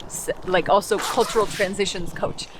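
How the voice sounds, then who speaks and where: A young woman from Canada chats as she walks through a park, trading quick thoughts on language coaching. Her voice sits at a medium pitch and is easygoing, with plenty of "like" fillers and small restarts. Faint street and park noise hums behind her. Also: steady tone. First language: English. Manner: chatty, many "like" fillers and restarts. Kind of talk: casual walking conversation. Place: outdoors in a park.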